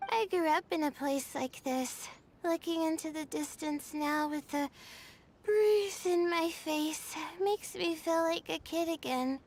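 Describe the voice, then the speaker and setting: A woman playing a wistful, gentle young woman in a video game, reminiscing in an unhurried, soft-hearted way. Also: very high-pitched, slight background noise. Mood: nostalgic. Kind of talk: game character dialogue. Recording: game music behind the voice. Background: background game music.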